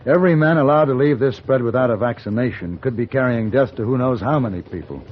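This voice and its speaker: An actor playing a calm, protective, commanding hired gunfighter in an old radio western. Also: male voice, mid-range voice, fast, close to an everyday voice. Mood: grave. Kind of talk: 1950s radio western acting. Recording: dull, noisy old radio recording.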